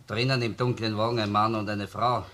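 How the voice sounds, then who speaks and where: Low-voiced, doubting Viennese detective. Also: male voice, flat delivery, brisk, pushed and bright. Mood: skeptical. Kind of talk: German-language radio crime drama acting.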